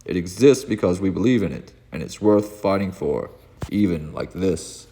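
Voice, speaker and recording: A man's voice: low voice, lively intonation, normal speed, dark tone, slight background noise. Guy doing a earnest superhero speech, impassioned and resolute, comic book style, long hall reverb. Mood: earnest, rousing. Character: earnest male superhero giving rousing, solemn speech.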